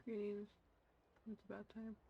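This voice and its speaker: A woman's voice: mid-range voice, completely flat delivery, unhurried, creaky, lo-fi sound, a little hiss or hum. A woman streaming a game, making casual, offhand, muttered comments as she plays. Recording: game music behind the voice.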